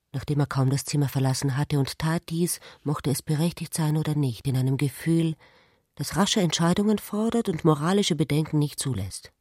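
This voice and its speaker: A woman's voice: low voice, a little mellow, dry recording, studio quality. A woman giving scripted literary narration in a radio play.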